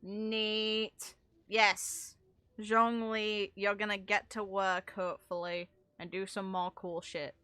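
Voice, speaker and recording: Bright-voiced woman streamer, very dry sound. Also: mid-range voice, slow pace, clear, resonant tone, no room sound. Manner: animated, informal, sweary. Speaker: game streamer. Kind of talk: casual, unscripted gameplay reaction commentary.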